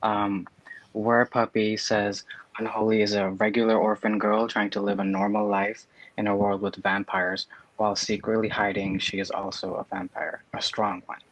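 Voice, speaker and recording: Low, even-voiced man moderating. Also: moderate pace, dry recording, low-quality recording. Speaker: workshop moderator reading audience submissions. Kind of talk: reading out chat comments.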